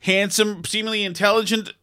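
High, bright-voiced sarcastic man. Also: fairly even intonation, brisk, clear, resonant tone. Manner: sarcastic, argumentative, rambling. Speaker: podcast co-host. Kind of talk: casual banter.

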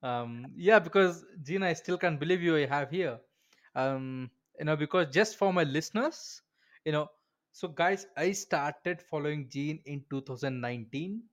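Male podcast host, slightly higher voice, informal and chatty over a video call. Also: moderate pace, lo-fi sound. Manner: informal, many fillers, chuckles. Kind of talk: casual interview chat.

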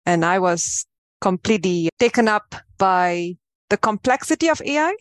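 A woman in her thirties from Malaysia, a podcast guest explaining her work in a measured way. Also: mid-range voice, lively intonation, no echo, studio quality. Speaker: guest, AI advisor. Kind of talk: interview answers.

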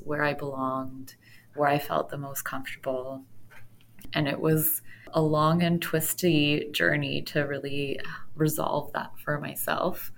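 A woman's voice: low-pitched, vocal fry, fairly bright tone, a little hiss or hum. A Canadian woman giving a reflective, conversational interview answer, searching thoughtfully for her words. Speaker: podcast guest.

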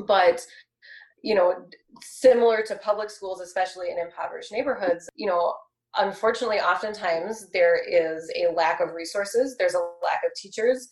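A woman in her thirties speaking earnestly and measuredly in a casual discussion, pausing often with ums. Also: lowish voice, echoey room, poor audio.

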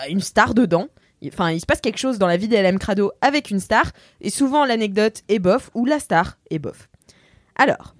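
A woman's voice: mid-range voice, no room sound, studio quality. A woman hosting a casual podcast, chatty and laughing often as she reads listener messages aloud. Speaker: podcast host.

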